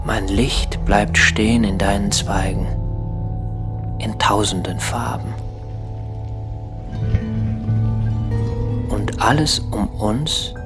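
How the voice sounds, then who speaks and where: An actor playing a melancholic lost soul in a poetic radio play, dreamy and lyrical in delivery. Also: male voice, fairly low pitch. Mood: hushed longing. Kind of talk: poetic audio drama monologue. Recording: music behind the voice.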